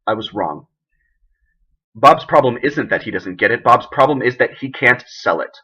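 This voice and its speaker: A man playing an embattled, idealistic president who gives an emphatic, deliberate, indignant speech in a dramatic monologue. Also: medium pitch, animated, pushed and bright, breathy edge, low-quality recording. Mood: scornful, pointed.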